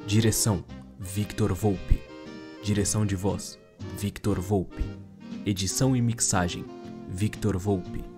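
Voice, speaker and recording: A Brazilian man announces credits from a script in a fairly low voice. His pace is slow, and music plays clearly behind him. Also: fairly even intonation.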